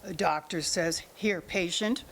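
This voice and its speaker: A woman's voice: on the low side, unhurried, gravelly, fairly bright tone, heavily acted. A woman playing an evasive, argumentative older mother in denial about her son, in a staged drama reading. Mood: defensive. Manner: deflecting, argumentative, talks in analogies. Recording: noisy room ambience.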